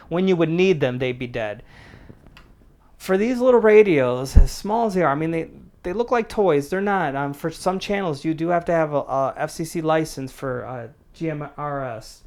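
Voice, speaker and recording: A man's voice: a little high, smooth. A middle-aged man hosting a casual product review, talking in a plain-spoken, conversational way. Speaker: prepper channel host and product reviewer.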